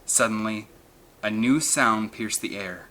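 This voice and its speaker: A man's voice: fairly low pitch, unhurried, sharp tone, rough recording. A man reading an adventure novel aloud as dramatic scripted narration. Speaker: audiobook narrator.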